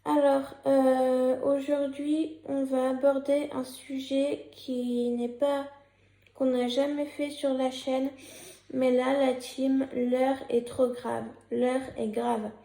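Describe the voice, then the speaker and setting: YouTuber, young woman, sick with a cold, gravelly and mellow, hesitant with lots of fillers. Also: sits high, flat delivery, takes it slowly, roomy sound, poor audio. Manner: hesitant, many fillers and restarts. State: has a cold and a sore throat. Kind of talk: casual vlog commentary, partly read from a script.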